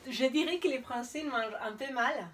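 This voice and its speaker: A woman's voice: sits high, moderate pace, distant mic. A woman in her thirties from Spain, a guest in an interview, chatting casually with frequent hesitation fillers. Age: 30s. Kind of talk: casual conversation.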